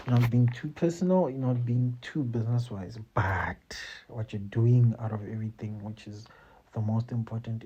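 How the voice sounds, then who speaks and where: Dark, husky-voiced man giving career advice. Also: medium pitch, dull audio. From South Africa. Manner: conversational, repeats phrases, tags lines with "you see" and "man". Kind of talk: casual talk-to-camera advice.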